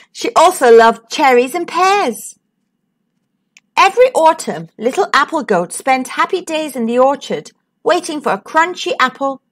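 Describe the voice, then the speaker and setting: A woman reading a picture book aloud to children in a sing-song voice, with dramatic pauses. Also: sits high. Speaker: children's storyteller.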